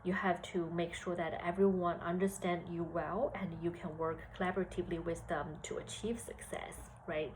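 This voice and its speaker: A woman in her thirties from China with a Chinese accent, giving career advice at a measured pace with frequent pauses and fillers. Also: on the low side, steady tone, a little hiss or hum, low-quality recording. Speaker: senior HR analyst. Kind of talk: career advice talk to students.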